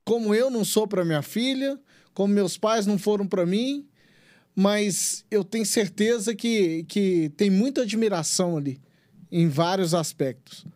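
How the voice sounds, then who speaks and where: A man's voice: high voice, a little slow, a little mellow, no room sound, clean studio sound. A man interviewing a guest, talking earnestly and at length, often repeating and restarting his words. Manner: earnest, rambling, repeats himself. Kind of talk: interview questioning.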